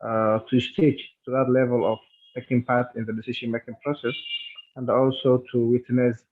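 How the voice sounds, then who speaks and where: Halting Somali-accented man on thin phone-like line. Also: medium pitch, level tone. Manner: halting, formal. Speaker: government official and youth climate activist. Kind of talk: earnest speech-like remarks. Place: online virtual meeting. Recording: thin phone-like call audio.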